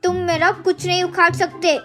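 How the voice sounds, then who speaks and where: A shrill, taunting little boy. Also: level tone, moderate pace, fairly bright tone, faint music behind. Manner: taunting, mocking insults. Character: cheeky scrappy little village boy. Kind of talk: cartoon story voice acting.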